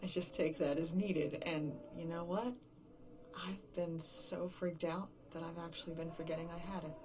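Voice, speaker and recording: Actress as weary, scared woman left alone in a horror audio drama; slightly low voice, halting recorded-diary monologue, noticeable background noise. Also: steady tone, lightly acted. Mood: bleak, resigned. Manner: halting, repetitive, thinking aloud, swearing. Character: exhausted, frightened woman game writer, alone after everyone vanished.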